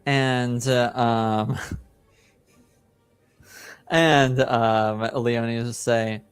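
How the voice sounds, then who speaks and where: A man with a smooth, clear voice narrates as game master and voices dialogue off the cuff. He speaks slowly and haltingly, searching for words, with faint music behind him. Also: mid-range voice, steady tone, no echo. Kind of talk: improvised tabletop narration and character dialogue.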